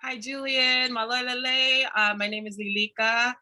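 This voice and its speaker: Woman from hawaii, very bright and sharp tone, admiring and a little shy. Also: fairly high pitch, flat delivery, normal speed. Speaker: book club member, mother. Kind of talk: casual video call intro. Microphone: video call.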